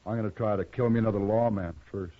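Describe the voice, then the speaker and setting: A man's voice: fairly low pitch, fairly even intonation, fast, close to an everyday voice. Man acting a proud drawling Old West gunman. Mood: hard, defiant. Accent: American. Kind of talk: 1950s radio western acting. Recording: muffled vintage radio recording.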